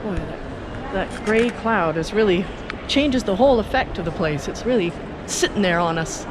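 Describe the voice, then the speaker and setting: Chatty woman over loud city noise. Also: mid-range voice, animated, moderate pace. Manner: chatty, rambling, wry asides to herself. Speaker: host and tour guide of a city walk video. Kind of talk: casual off-the-cuff walking commentary. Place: out and about at a London skyscraper and its streets. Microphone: handheld camera on a stick. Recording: noisy outdoor ambience with city traffic.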